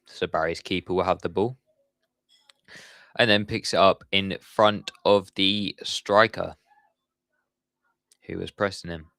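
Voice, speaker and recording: A man's voice: low-pitched, fairly even intonation, takes it slowly, vocal fry, long pauses, sharp tone, dry recording, studio quality. A young man giving live football commentary on his stream, chatty and talking to his viewers in the chat. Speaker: watchalong streamer.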